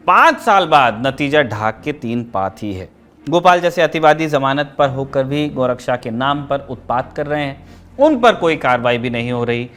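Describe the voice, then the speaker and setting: A man's voice: on the high side, fairly bright tone. A man hosting a news show, delivering scripted commentary in a formal, emphatic, rhetorical manner.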